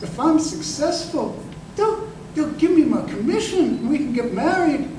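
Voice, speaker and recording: Guy doing embittered soldier in old radio drama, really high voice, halting, distant echoey mic. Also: expressive, warm tone. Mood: tender, brave. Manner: halting, repeats words, emphatic. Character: embittered crippled ex-corporal recalling his betrayal, earnest and working-class. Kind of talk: 1930s old-time radio drama acting. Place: live stage performance in a museum. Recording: echoey live performance, distant mic, noisy room.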